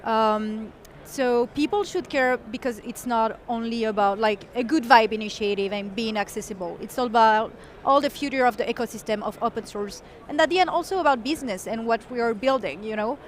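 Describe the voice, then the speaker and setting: Higher-pitched woman explaining eagerly. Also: brisk. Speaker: software engineer and community guest. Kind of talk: conference interview.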